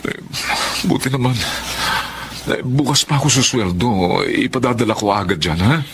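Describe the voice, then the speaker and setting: A man's voice: medium pitch, moderate pace, gravelly, background noise, natural voice. A man playing a humble polite driver. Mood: deferential. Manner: polite, deferential. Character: humble, patient male family driver. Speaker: driver, Lucas. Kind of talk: audio drama acting.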